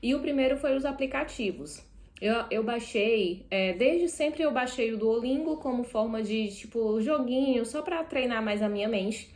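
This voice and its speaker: A woman's voice: a little high, normal speed, reverberant. A young Brazilian woman with a Brazilian Portuguese accent, chatting casually to camera while seated indoors and often correcting herself mid-sentence. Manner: chatty, self-correcting, animated asides. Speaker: vlogger.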